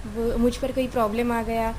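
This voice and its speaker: Flat, highish young female voice. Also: normal speed. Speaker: interviewee. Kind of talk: casual chat. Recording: noisy location with ambient sound.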